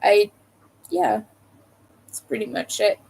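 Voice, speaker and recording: A woman's voice: a little high, expressive, takes it slowly, creaky, a little mellow, husky. A young adult woman with a Montreal accent, chatting loosely with viewers on her live stream, rambling and hesitant, with many fillers. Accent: Montreal Quebec. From Quebec, Canada.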